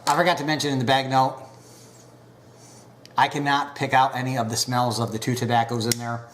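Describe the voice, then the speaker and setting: A man casually reviewing pipe tobacco, unhurried and full of filler pauses, a bit stuffed up from a recent cold. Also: mid-range voice, flat delivery, a bit bright, a little hiss or hum.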